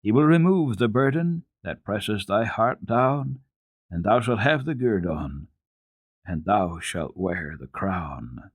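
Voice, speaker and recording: A man's voice: lowish voice, animated, slow, husky, dry recording, studio quality, full character voice. A man voicing an earnest, devout, trusting little girl in a solemn, simple and assured way, for a storybook reading. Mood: solemn, reciting.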